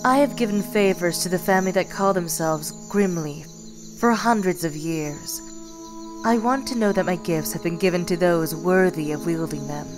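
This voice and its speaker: A proud, ancient nature goddess acted by a woman in a fantasy audio drama. Her voice is on the low side, formal and measured, with clear music underneath. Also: natural voice. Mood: proud, composed.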